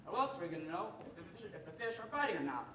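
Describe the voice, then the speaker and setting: A man's voice: sits high, sing-song, racing. A young man playing a kindly, easygoing game warden father in a stage radio show. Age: young adult. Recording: echoey stage, distant mic, clear noise.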